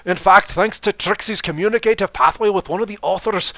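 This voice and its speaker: Guy doing a snooty show-off girl pony magician, loud and pitched up, indignant, story reading. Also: fairly quick, dry recording, studio quality. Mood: suspicious, haughty. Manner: haughty, declamatory, refers to self in third person. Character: boastful, indignant female pony stage magician.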